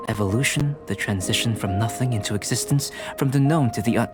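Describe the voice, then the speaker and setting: An actor playing a young male alchemist who speaks in a calm, measured and lecturing way. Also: medium pitch, natural voice. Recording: backing music.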